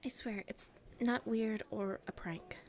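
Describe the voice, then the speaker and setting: A nervous popular schoolgirl played by a woman speaks in a fairly high, soft voice that often drops near a whisper. She takes her time, halting and pleading shyly, with a creaky edge. It is an intimate ASMR roleplay performance. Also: fairly even intonation. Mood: shy, earnest. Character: nervous, shy-spoken popular schoolgirl with a secret crush.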